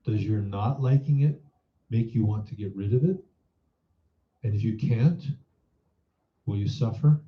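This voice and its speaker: A man leading a guided meditation as a teacher, in a measured, instructive manner, posing reflective questions. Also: lowish voice. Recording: roomy echo, dull muffled sound.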